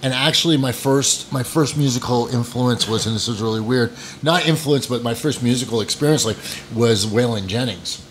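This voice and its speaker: A man's voice: mid-range voice, moderate pace, hardly any pauses, slight background noise. Rambling man telling stories as a guest. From New England, United States. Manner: rambling, self-deprecating, frequent fillers and restarts. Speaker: podcast guest, musician. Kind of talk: casual storytelling interview.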